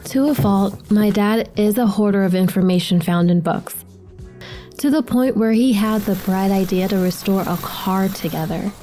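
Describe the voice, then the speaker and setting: An actress playing a casual, playful, slightly sulky teenage daughter in a naturalistic drama, both talking and narrating. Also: female voice, mid-range voice, normal speed, dark tone, faint music behind, close to an everyday voice. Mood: fond, reflective. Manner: casual, youthful. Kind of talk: naturalistic screen drama acting with reflective narration.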